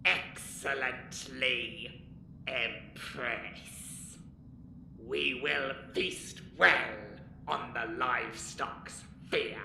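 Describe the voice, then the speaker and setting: A performer voicing a slow, menacing creature that feeds on human fear, in an audio drama. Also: medium pitch, steady tone, gravelly, sharp tone, slight background noise, exaggerated voice. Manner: drawn-out, hungry, menacing. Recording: roomy echo, faint ambience.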